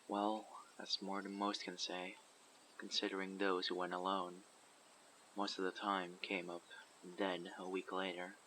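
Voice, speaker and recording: A man voicing a guarded survivor leader who recruits people for a community, asking measured, probing questions in an audio roleplay. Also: low voice, pushed and bright, a little hiss or hum, rough recording. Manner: measured, probing, matter-of-fact. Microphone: USB condenser microphone.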